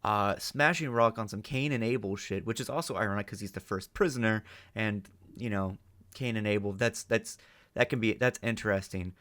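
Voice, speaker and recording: A man giving off-the-cuff reaction commentary as a host, halting and speculative as he thinks aloud. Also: fairly low pitch, a bit bright. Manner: halting, speculative, mild swearing.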